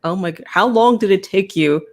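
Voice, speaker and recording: A woman hosting a relaxed video chat, asking short questions. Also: mid-range voice, moderate pace. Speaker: show host. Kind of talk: casual video chat interview.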